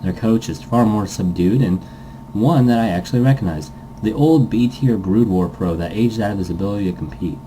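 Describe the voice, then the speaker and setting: A man with a deep, mellow voice hosts a game playthrough. He moves between reading text aloud and loose, rambling commentary, thinking out loud as he goes. A light, steady hum sits behind the voice. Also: normal speed, poor audio. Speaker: host of a visual novel playthrough series.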